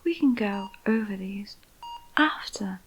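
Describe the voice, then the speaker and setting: Slow whispery female vampire scientist, acted by a woman. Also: medium pitch, lively intonation, slight background noise, poor audio. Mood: soothing, sinister. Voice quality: whispery, breathy. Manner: slow, soothing, deliberate. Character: calm, clinical female vampire scientist overseeing a sinister experiment. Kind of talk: ASMR roleplay.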